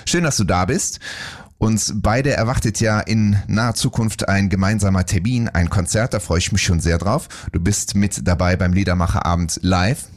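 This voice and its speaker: A low-voiced man hosts a radio interview in a studio, asking his guest friendly, engaged questions. His pitch climbs noticeably whenever he poses a question. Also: normal speed. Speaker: radio host.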